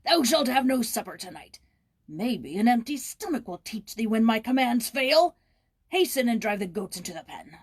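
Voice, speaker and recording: Woman acting a gruff, bellowing male caretaker. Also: a little high, very animated, normal speed, dry recording, pitched above the performer's own voice. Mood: harsh, scolding. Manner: bellowing, scolding, archaic thee-and-thou speech. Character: gruff, bullying, miserly male French caretaker.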